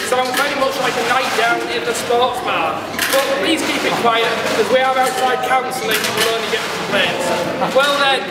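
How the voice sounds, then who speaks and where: A man presenting a comic scripted stage introduction with mock-grand announcing. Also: very high-pitched. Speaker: pantomime presenter. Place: large hall, live stage performance, recorded from afar. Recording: distant, echoey hall, loud background noise.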